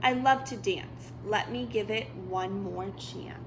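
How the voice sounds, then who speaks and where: A woman's voice: mid-range voice, slow pace, a little hiss or hum, rough recording. A woman voicing an eager, hopeful boy cat from a picture book, with a bouncy, earnest manner. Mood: determined, hopeful. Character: eager, hopeful young male cartoon cat who loves dancing.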